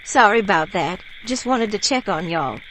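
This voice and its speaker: A woman's voice: medium pitch, expressive, moderate pace, fairly bright tone, a little hiss or hum, lightly acted, rough recording. A woman playing a neighborly, folksy local with a Louisiana bayou accent in an audio drama. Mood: friendly, folksy.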